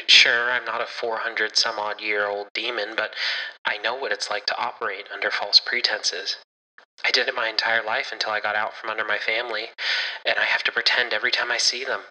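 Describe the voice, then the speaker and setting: A man's voice: fairly low pitch, flat delivery, a little fast, bright, forceful tone, big-room sound, rough recording. A man voicing an anxious, earnest young man who stammers, in an audio story. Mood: reassuring, earnest. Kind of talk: audio fiction dialogue.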